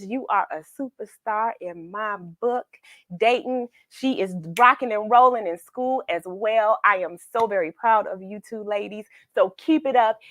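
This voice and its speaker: Lady doing a kids read-along, slow talker, dry close sound, playful and encouraging, repeats herself. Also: a little high, a bit bright, no room sound. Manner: playful, affectionate and encouraging, repeats phrases for emphasis. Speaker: children's read-along host. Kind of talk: informal, chatty address to children.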